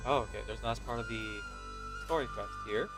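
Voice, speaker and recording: A man's voice: fairly low pitch, a little fast, music in the background. A man giving casual gameplay commentary as a streamer, musing aloud as he plays. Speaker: game streamer.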